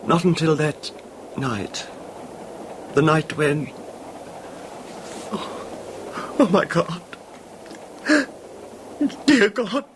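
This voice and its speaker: A troubled, moneyed husband confessing in a vintage radio horror drama. His voice sits a little high and he speaks haltingly, stammering with nervous theatrical anguish. The old recording carries some background noise. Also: very animated, heavily acted. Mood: uneasy, reminiscing. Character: anguished, nervy, wealthy husband recalling his wife's betrayal.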